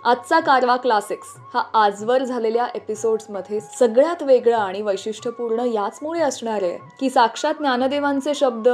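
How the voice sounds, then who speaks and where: High-voiced woman radio host over music. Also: a little mellow. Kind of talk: scripted devotional music radio narration.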